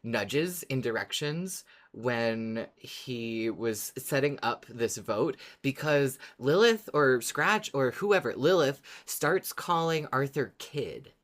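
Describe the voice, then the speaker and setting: Casual podcast co-host, mid-low speaking voice. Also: animated, slow, hardly any pauses, a bit bright. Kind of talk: casual chat on a fan podcast.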